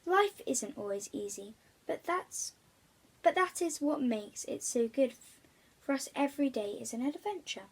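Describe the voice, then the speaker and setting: A woman voicing a kind, wise elephant in a children's storybook, explaining gently and earnestly. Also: sits high, expressive, a little slow. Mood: kindly, earnest. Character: kind, wise, contented wild elephant of the plains.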